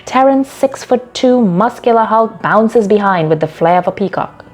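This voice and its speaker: A woman narrating a short story from a script in a measured, dramatic storytelling delivery. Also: mid-range voice, animated, soft background music.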